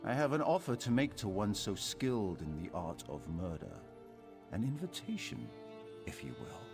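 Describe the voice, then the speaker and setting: A sinister man who recruits assassins for a dark cult in a video game. His deep voice moves slowly and theatrically, rising and falling with silky menace. Soft music plays behind him. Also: full character voice.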